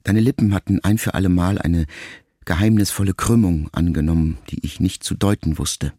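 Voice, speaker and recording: An actor playing a grieving grown son, a writer, in an intimate, measured literary radio monologue addressed to his mother. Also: deep voice, dark tone, slightly rough, no echo, studio quality. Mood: sorrowful, tender. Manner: measured, reflective, intimate, with deliberate pauses.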